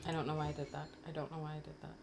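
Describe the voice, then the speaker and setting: A woman's voice: sits low, little pitch movement, rapid-fire, vocal fry, very noisy. A woman streaming a game, chatting casually with someone at home. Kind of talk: casual chat during a livestream. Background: game music.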